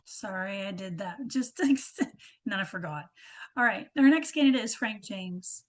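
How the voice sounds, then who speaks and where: A woman moderating an online forum over a video call, speaking in a brisk, light way. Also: medium pitch, expressive.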